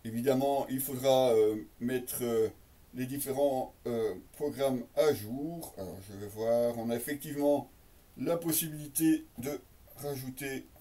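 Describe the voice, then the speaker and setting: A man's voice: medium pitch, measured pace, dark tone, far from the mic. A man casually presenting an unboxing, explaining as he goes in an improvised, hesitant way and speaking directly to viewers. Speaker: product reviewer and presenter.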